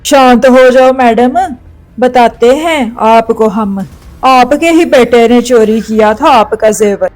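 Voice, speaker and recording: A stern cartoon police bird, voiced by a woman, questions people firmly and slowly. The voice is fairly high and authoritative, over faint music. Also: darkish tone, rough recording. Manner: firm, questioning, unhurried. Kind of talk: Hindi cartoon story dialogue.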